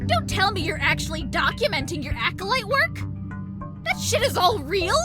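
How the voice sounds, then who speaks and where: High-pitched, dramatic villainess Kiki. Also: female voice, big pitch swings, moderate pace, over music. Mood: startled, annoyed. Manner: exasperated, theatrical, swearing. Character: Kiki, sardonic, dramatic, gun-toting female villain rival. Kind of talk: visual novel game voice acting.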